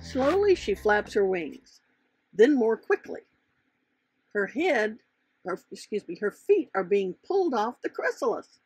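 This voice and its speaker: A woman narrates a children's storybook with very lively intonation and clear emphasis. The voice is a bit raspy, recorded close and dry, and they explain things expressively as the author of the book. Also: a little high, measured pace, no echo, low-quality recording. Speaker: author reading their own children's book.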